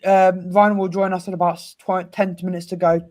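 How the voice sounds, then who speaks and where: A man's voice: high voice, flat delivery, normal speed, darkish tone. A young man co-hosts a casual football talk. He lists players and lineups from memory, sure of his picks. His voice is on the light side for a man. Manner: rattles off names and lineups. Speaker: co-host.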